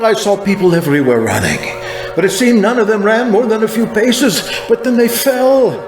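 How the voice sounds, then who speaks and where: A man playing an anguished evangelist who recounts an apocalyptic vision in fervent, theatrical first-person narration. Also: high-pitched. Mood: frantic alarm. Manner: theatrical, fervent, swelling and falling dramatically. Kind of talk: dramatized first-person narration over music. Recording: echoing voice under loud music.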